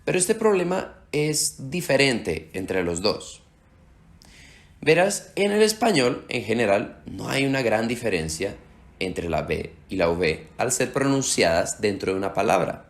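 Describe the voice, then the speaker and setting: Man, native Slovak speaker, Spanish teacher, lively rising and falling pitch, slows down on example sounds. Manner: slows down to spell out sounds clearly. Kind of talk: explanatory language lesson.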